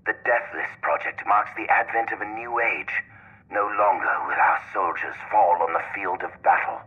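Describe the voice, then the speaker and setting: An actor playing a grandiose older imperial scientist dictating a formal research log in a video game, through a recording filter. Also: male voice, on the low side, moderate pace. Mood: triumphant. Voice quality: husky, raspy. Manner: formal, pompous. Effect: old recording filter. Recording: tinny, phone-like log playback.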